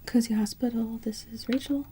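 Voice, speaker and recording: A woman whispering softly in an ASMR roleplay as a polite hospital receptionist answering phones. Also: fairly high pitch, fairly even intonation, normal speed, background noise. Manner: soft, mostly unvoiced whisper; polite phone-desk manner.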